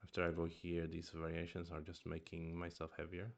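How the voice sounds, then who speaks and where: A man's voice: low-pitched, monotone, a little fast, vocal fry, highs cut off. A man streaming a review of his own go games, thinking aloud in a casual chat with murmured asides and restarts; his first language is Swedish.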